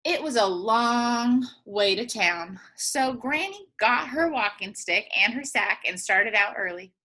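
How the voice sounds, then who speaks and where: A woman reads a picture book aloud like a teacher to young children. Her voice is bright and rather high, with very lively pitch and drawn-out emphasis. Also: low-quality recording. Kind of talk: animated children's storybook read-aloud.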